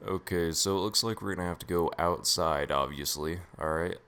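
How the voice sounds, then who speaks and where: A man's voice: low voice, normal speed, fairly bright tone, clean tone. A man giving casual, irreverent, laid-back commentary as he hosts a gameplay video. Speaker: gaming video host.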